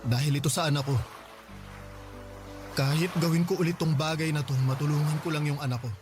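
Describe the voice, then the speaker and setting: A man's voice: a little high, steady tone, fairly quick, over music, lightly acted. A man playing a humble, devoted young father in a Tagalog radio drama, speaking politely and earnestly. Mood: earnest, resolute.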